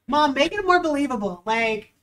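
A woman's voice: high voice, animated, normal speed, a bit bright, distant mic. A young woman, a dog trainer, chatting casually and wryly as she reads a news story aloud and comments on it. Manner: chatty, wry, reads article aloud with asides.

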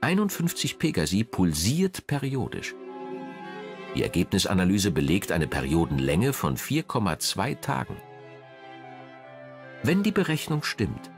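A man narrating a scripted documentary in a measured, dramatic way. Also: sits low. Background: background music.

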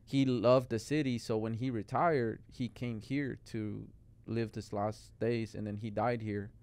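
Casual young male guest from Mexico, clear smooth tone, rambling chat. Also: lowish voice, steady tone, measured pace, darkish tone. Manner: rambling, lots of "like" and "bro". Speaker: guest artist. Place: podcast studio. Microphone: studio mic on boom arm.

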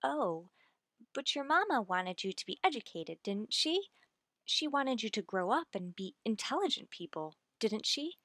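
Woman playing a genteel, inquisitive lady, higher-pitched. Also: moderate pace, dry recording, studio quality. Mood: gentle coaxing. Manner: polite, genteel, expressive. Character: genteel, sympathetic, inquisitive lady suitor of a widower. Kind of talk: old-time radio drama acting.

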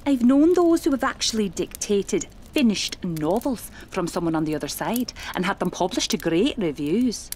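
A woman playing a seasoned, genteel spiritualist medium in a radio play, speaking in a measured, dramatic way. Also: medium pitch, animated, darkish tone, a little hiss or hum, lightly acted. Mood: ominous.

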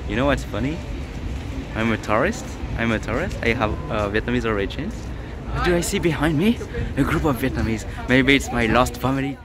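A man's voice: mid-range voice, animated, fairly quick, music in the background. A young man with a French accent, chatting and joking through a casual city vlog, filmed on a phone at arm's length outdoors. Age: young adult. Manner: chatty, joking, many fillers and restarts. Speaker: vlogger. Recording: outdoor city noise behind. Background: street noise.

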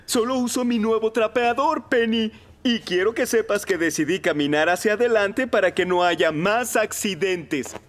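A man's voice: high voice, normal speed. A man voicing a hapless, put-upon young firefighter in a children's cartoon, with a plaintive, earnest delivery. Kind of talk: animated children's cartoon dubbing. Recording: loud music underneath.

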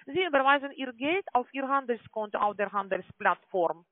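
A woman with a non-native German accent gives an explanatory phone sales pitch as a call-centre agent, often adding tag questions to confirm. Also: lively intonation, normal speed, dry recording. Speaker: call-centre agent selling online trading. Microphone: telephone call. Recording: tinny, band-limited phone line.

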